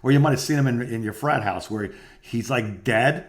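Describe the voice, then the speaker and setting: A man's voice: medium pitch, normal speed. A middle-aged man chatting casually as a co-host, reminiscing in a rambling storytelling way. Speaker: podcast co-host, former radio host.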